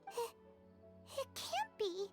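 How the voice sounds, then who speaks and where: A woman's voice: extremely high pitch, sing-song, slow pace, heavily acted. A woman voicing a small childlike companion in an anime fantasy game. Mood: solemn. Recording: game music under the voice.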